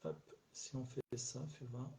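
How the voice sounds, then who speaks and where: A man's voice: medium pitch, animated, measured pace, mellow. A middle-aged man hosting a casual live stream alone, rambling and hesitant, with many fillers and restarts, a little stuffy after a cold. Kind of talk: casual solo live chat. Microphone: phone or webcam on a live stream.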